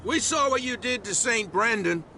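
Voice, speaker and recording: A man's voice: extremely high pitch, lively intonation, moderate pace, pushed and bright, slight background noise, full character voice. A man voicing a talkative, conspiratorial out-of-work drunk in a video game. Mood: chatty. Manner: chatty, conspiratorial. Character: jumpy, talkative male out-of-work island drunk.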